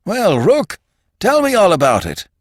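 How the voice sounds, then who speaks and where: A hearty older magazine boss from a storybook, acted out by a man, pitched well up and swooping widely. He is genial and fussing, leaning on his words as he cajoles and persuades. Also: wildly swooping pitch, normal speed, no echo, clean studio sound. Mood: heavy geniality.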